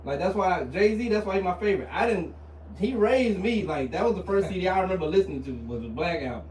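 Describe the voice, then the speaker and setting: A man in his thirties, co-hosting a casual podcast chat, telling stories with lively, laughing energy. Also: high voice, quick pace. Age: 30s. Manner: animated, laughing storyteller. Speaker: podcast co-host. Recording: roomy sound, distant mic, faint noise.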